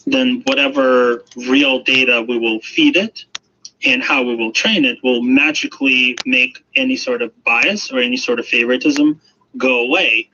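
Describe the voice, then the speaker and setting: Bright-voiced man explaining, muffled noisy recording. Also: medium pitch, normal speed. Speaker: AI expert being interviewed. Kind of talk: explanatory interview talk. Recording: muffled, noisy radio-like sound.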